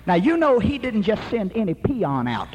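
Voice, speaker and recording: A man preaching a sermon in an emphatic, rhythmic style that rises to shouted peaks. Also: high-pitched, huge pitch swings, moderate pace, a little hiss or hum.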